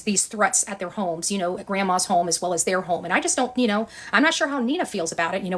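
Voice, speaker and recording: A woman's voice: mid-range voice, fairly bright tone. A woman giving unscripted commentary as a video journalist to her followers, speaking in a defiant, emphatic and blunt way. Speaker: video journalist and online channel creator.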